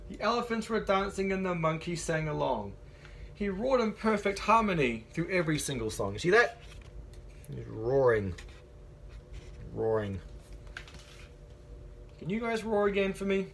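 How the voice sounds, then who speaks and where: Animated high-pitched man reading to children. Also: unhurried, creaky, pauses often, slight background noise. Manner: encouraging, interactive, asks children questions. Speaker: childcare teacher reading aloud. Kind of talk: animated picture-book read-aloud to young children.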